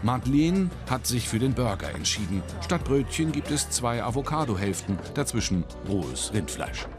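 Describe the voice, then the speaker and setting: A man's voice: medium pitch, sing-song, normal speed, over music. A man narrating a scripted magazine report as a television voice-over.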